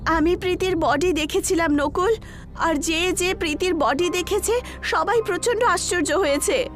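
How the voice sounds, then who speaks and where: A woman's voice: very high voice, unhurried, soft background music, pitched above the performer's own voice. A woman voicing an anxious young female college student in a horror cartoon, with an emotional delivery. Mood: troubled.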